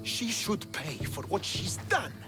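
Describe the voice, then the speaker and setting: An emotional, loyal young warrior from an epic video game. His voice is high and swings with feeling as he speaks slowly over loud music. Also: big pitch swings. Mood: hurt, bewildered. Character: earnest, hurt young male warrior, loyal son. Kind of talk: dramatic game cutscene acting.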